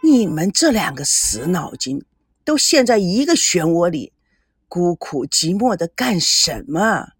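A woman's voice: lowish voice, very animated, unhurried, husky, no room sound, clean studio sound, soft background music, full character voice. A woman voicing a stern, caring elderly father in an audiobook, grumbling, sighing and admonishing. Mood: teasing, scolding.

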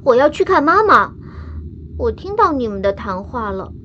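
A woman's voice: fairly high pitch, very animated, moderate pace, bright, forceful tone. A woman playing a naive, affectionate young girl stowaway in a radio drama, childlike and coaxing, in slightly muffled audio. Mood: earnest. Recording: dull muffled audio with faint noise.

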